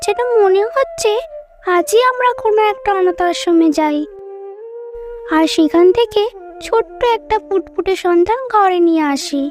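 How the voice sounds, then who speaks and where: A woman's voice: extremely high pitch, normal speed, soft background music. A woman voicing a young married bird wife in a children's cartoon, wistful and pleading, with a childlike manner. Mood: impatient pleading.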